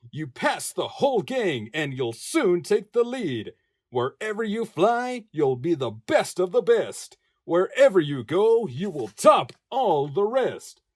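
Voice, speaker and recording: A man narrating a rhyming picture book expressively, in a measured, sing-song manner. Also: high voice, darkish tone, breathy edge. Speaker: voice-over narrator.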